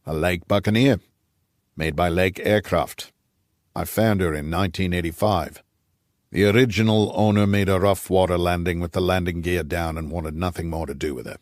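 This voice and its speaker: A man voicing an aging, gruff Rhodesian patriarch, a wry and nostalgic old scout, in an audiobook. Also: low voice, steady tone, normal speed, no room sound, studio quality, lightly acted. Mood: wry reminiscing. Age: older.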